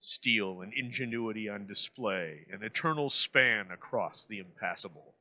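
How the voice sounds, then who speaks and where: A man introduces vintage radio episodes in formal scripted narration. His voice is low yet very bright and crisp-edged, with measured phrasing. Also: lively intonation, poor audio.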